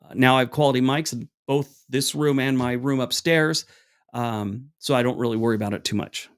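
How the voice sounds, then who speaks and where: A man with a deep voice hosting a casual livestream, answering chat questions in a rambling, chatty way with many restarts. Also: fairly even intonation, a little fast. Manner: acts out both sides of an anecdote with raised pitch. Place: room at home. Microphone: small wireless clip mic.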